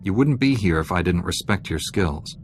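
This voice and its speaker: Game hero: stern, blunt warrior knight, lowish pitch, curt delivery. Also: male voice, lively intonation, moderate pace, soft background music. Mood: stern, dismissive. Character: serious, blunt male Jedi warrior in a space saga. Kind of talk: video game dialogue acting.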